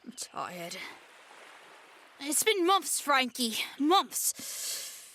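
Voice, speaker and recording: A woman's voice: high-pitched, sing-song, extremely slow, sharp tone, heavily acted. A woman playing an anxious, cautious child runaway in an audio drama, emphatic and easily exasperated. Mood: weary, worried.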